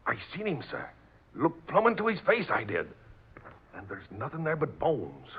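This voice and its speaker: An actor playing an agitated, superstitious but deferential sailor with a rustic seafaring dialect in an old radio drama. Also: male voice, fairly high pitch, wildly swooping pitch, normal speed, slight background noise. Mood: shaken, insistent. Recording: dull, muffled old radio recording.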